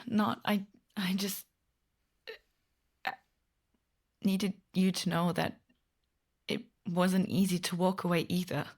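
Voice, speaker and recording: An actress playing a guarded, wounded woman survivor in an audio drama, speaking in a blunt, weary, halting way. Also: on the low side, fairly even intonation, husky, full character voice. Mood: hurt, vulnerable. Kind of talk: naturalistic audio drama acting.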